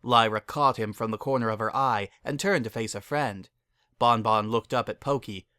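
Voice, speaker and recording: A man's voice: medium pitch, moderate pace, bright, forceful tone, dry recording. A man narrating a story as a scripted dramatic reading.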